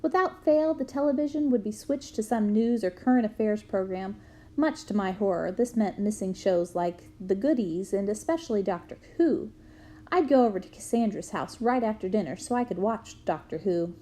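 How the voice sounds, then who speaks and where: A woman reading a memoir aloud to students in a clear storytelling manner. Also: medium pitch, expressive, normal speed. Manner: clear storytelling, bursts into loud shouts for quoted yells.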